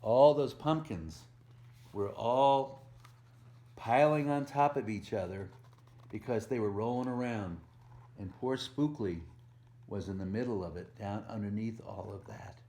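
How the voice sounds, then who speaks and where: A man reading a picture book aloud to young children as a volunteer storyteller, gently explaining things and asking the listener questions in simple words. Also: lowish voice, a little slow.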